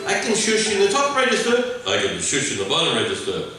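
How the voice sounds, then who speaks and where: In a very echoey room, a plucky Irishman from a radio comedy talks proudly of the shusher's trade, a man's character act. The voice is a little high, and sits away from the mic. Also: huge pitch swings, a little fast, recorded from a distance, over music, heavily acted. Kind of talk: old-time radio comedy acting.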